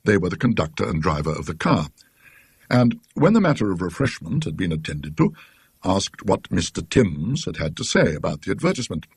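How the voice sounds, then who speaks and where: A British man narrates a classic ghost story in a scholarly manner. His voice is husky and moves expressively up and down, recorded dry with no room sound. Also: low-pitched, very animated, brisk, no room sound.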